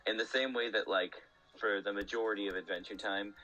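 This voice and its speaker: A man's voice: lowish voice, fairly even intonation, brisk, bright, forceful tone, low-quality recording. A man hosting a casual podcast conversation in an analytical, conversational manner. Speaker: podcast host. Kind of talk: casual chat about cartoons. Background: game music.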